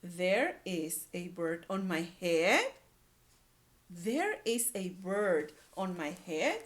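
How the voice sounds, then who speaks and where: An anxious male storybook elephant, played by a woman, exclaims and questions in dismay. The voice swings widely in pitch and takes its time over the words. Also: very animated, extremely slow, exaggerated voice. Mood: worried surprise.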